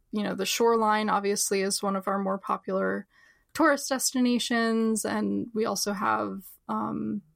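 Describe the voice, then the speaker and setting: Creaky-voiced New woman. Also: mid-range voice, dry recording, studio quality. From New Jersey. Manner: chatty, many fillers and laughs. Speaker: book editor, podcast guest. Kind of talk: casual interview talk.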